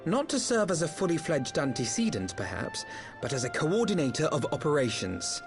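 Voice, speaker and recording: A dutiful young noble leader in a fantasy game. His voice sits fairly high and moves expressively, with formal, measured, earnest phrasing. Soft music plays behind him. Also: sing-song. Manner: formal, measured, eloquent diction. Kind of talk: video game voice acting.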